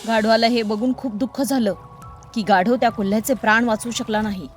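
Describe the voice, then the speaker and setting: High-pitched woman narrating children's stories. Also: steady tone, fairly quick, faint music behind. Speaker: storyteller, narrator. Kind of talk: scripted children's story narration.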